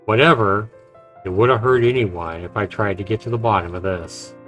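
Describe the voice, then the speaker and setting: Male streamer, lowish voice, reads visual novel text with casual asides, faint music underneath. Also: normal speed, fairly bright tone. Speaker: game streamer. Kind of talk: reading visual novel narration aloud with casual commentary. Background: game music.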